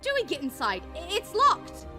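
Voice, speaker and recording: A woman voicing a small, naive, excitable skeleton boy in a cartoonish comic dub, childlike and eager. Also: extremely high pitch, normal speed, heavily acted. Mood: excited. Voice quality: childlike, piercing. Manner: eager, exclaiming. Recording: music behind the voice.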